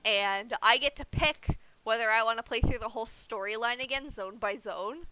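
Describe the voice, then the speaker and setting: A woman's voice: a little high, normal speed, sharp tone, smooth, low-quality recording. A young woman giving casual livestream game commentary, explaining things informally as she plays. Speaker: game streamer.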